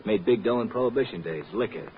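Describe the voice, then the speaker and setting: Man playing breezy, animated old-radio newsman. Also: lowish voice, natural voice. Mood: matter-of-fact. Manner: breezy, slangy, drawn-out teasing. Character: jovial, folksy, world-weary newsman informant. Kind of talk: 1940s-50s radio drama acting. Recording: muffled, noisy old radio recording.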